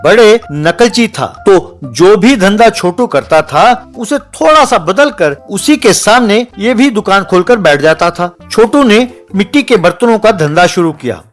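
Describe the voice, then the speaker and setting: A man's voice: high-pitched, lively intonation, unhurried, hardly any pauses, soft background music. A man narrating a scripted comic village tale as a storyteller.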